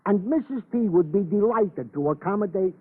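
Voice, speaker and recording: Florid police sergeant, high-pitched. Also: male voice, expressive, moderate pace, husky, phone-quality sound. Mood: hesitant, hopeful. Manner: florid, roundabout, stilted phrasing. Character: chatty, deferential Italian-American police sergeant on night duty. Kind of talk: old-time radio drama acting. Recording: thin, band-limited old radio recording.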